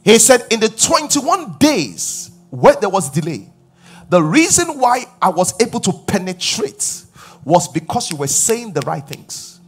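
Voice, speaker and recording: Pastor, man in his forties, husky, pitch leaps around while preaching on a big stage, faint music under. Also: measured pace. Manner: animated preaching, rising loud on key points, asking the crowd questions. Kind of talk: sermon. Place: large church stage with live audience.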